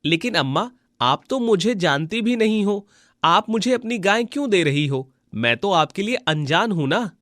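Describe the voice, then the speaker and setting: A man voicing a kind, humble young village husband in an animated moral story, polite and earnest. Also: sits high, moderate pace, no echo, studio quality.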